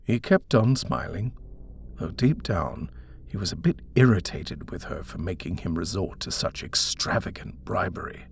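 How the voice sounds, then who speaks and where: A man with a husky voice narrating a scary story from a script in a dramatic reading style. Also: low voice, lively intonation, normal speed, slight background noise. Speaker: audiobook narrator.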